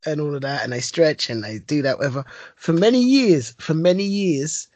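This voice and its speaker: A man with a British accent co-hosting a podcast, talking excitedly and laughing a lot. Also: on the high side, normal speed, dry recording. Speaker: podcast co-host. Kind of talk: casual chat.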